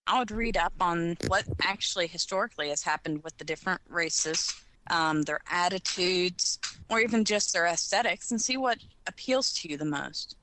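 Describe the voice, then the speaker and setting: A woman's voice: on the low side, animated, moderate pace, pushed and bright, poor audio. A woman on a podcast panel giving informal advice in a discussion. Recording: background noise with clicks.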